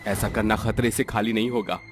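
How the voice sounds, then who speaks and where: A man's voice: lowish voice, steady tone, a little fast, faint music behind, close to an everyday voice. A man playing an honest, hesitant cowherd husband in a folk tale, earnest and reasoning. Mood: reproachful, doubtful.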